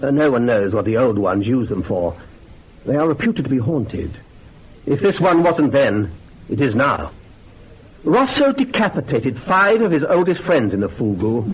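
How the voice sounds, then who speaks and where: A man's voice: medium pitch, big pitch swings, moderate pace, natural voice. An actor in a radio horror drama playing an eager, theatrical male ghost investigator who relishes grisly detail. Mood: macabre relish. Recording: thin phone-like radio recording, faint noise.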